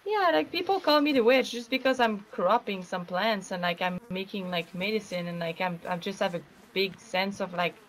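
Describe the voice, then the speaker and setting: A woman improvising casual in-character game roleplay, speaking in a halting, expressive way. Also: medium pitch, a little hiss or hum, low-quality recording. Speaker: roleplayer playing an accused witch.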